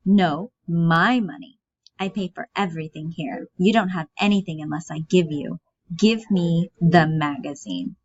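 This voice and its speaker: A woman's voice: fairly low pitch, a little slow. A woman voicing a stern, bitter, domineering old grandmother from a stage play. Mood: cold, commanding. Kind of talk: reading a stage play aloud. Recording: dull, muffled lo-fi audio.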